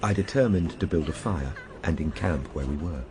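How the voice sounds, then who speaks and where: A man's voice: a bass voice, normal speed, noisy background. A man playing a time traveler recounting his ordeal in solemn, literary radio drama narration. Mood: grave, tense. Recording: radio drama over loud background ambience.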